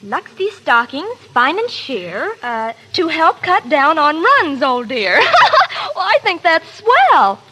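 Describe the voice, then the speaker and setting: A woman's voice: very high voice, wildly swooping pitch, slow pace, hardly any pauses, bright, forceful tone, full character voice. An actress playing an eager, gossipy teenage girl with gushing slang in a 1930s radio advertising skit. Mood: excited. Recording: noisy 1930s radio broadcast recording.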